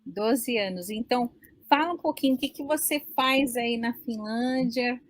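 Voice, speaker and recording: Brazilian woman, 40s, live-stream host, chatty and friendly, fairly high voice, dry sound. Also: moderate pace, no room sound. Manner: friendly, chatty, many "né?" tags. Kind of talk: casual live-stream chat.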